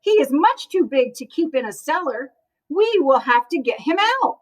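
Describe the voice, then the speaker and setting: A woman voicing a brisk, disapproving policeman in a children's storybook, exclaiming in a matter-of-fact way. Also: high-pitched, big pitch swings. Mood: astonished.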